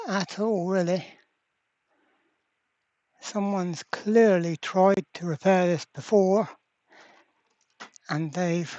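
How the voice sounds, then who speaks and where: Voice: mid-range voice, a little mellow, no echo. A presenter giving casual hands-on workbench commentary, thinking aloud in a hesitant way. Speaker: repair video presenter. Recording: dull, muffled lo-fi sound.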